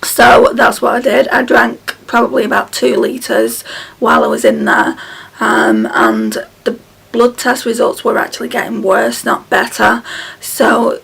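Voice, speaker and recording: Croaky, husky woman vlogging. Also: on the high side, animated, a bit bright. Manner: tired, halting, many ums. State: hoarse, croaky voice from illness. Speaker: beauty vlogger. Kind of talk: casual chat to camera. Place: small room at home, indoors. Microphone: camera close in front.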